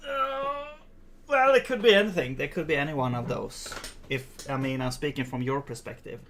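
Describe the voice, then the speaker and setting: A man's voice: mid-range voice, lively intonation, moderate pace, fairly bright tone, a little hiss or hum. A man with a Swedish accent, casually livestreaming while he codes, thinking aloud in mumbled half-sentences and chuckling now and then. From Sweden. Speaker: streamer.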